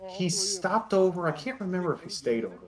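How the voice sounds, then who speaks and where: A man telling casual anecdotes as an interview guest on an online voice call, in a halting, stop-and-start way. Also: high-pitched, lively intonation, soft background music. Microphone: voice call on Discord.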